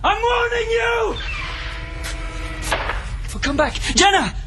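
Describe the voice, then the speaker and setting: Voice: very high-pitched, big pitch swings, slow pace, shouting, loud music behind the voice, full character voice. An actor playing a stubborn, needy, long-held captive in a radio drama, with intense, volatile delivery. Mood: desperate, angry.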